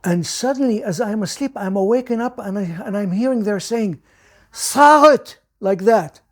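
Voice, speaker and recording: Older Iraqi-born man, halting recollection. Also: high voice, animated. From Iraq. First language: Judeo-Arabic. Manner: halting, many restarts and repeated words. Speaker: interview guest. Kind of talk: personal recollection.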